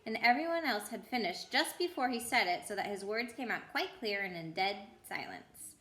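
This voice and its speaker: A woman's voice: mid-range voice, lively intonation, moderate pace, sharp tone, roomy sound. A woman reading a children's book aloud expressively as a storyteller, with the odd stumble.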